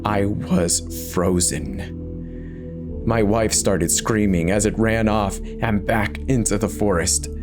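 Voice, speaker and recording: A man's voice: fairly low pitch, slow, music in the background. A man giving an intense, theatrical first-person reading of a horror story as its narrator.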